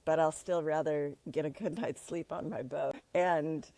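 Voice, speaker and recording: A woman's voice: sits low, steady tone, measured pace, warm tone, no room sound. Chatty upbeat woman vlogging outdoors. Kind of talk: casual vlog talk.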